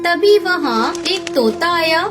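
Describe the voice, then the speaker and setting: A woman's voice: extremely high pitch, animated, slow pace. A woman narrating a scripted children's story. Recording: music bed under the voice.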